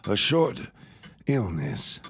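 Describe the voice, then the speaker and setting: An actor playing a menacing, scheming old reverend in an audio drama, husky and pompous in his deliberate delivery. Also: lowish voice, sing-song, pushed and bright, full character voice. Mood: sinister scheming. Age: older. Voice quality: husky, raspy.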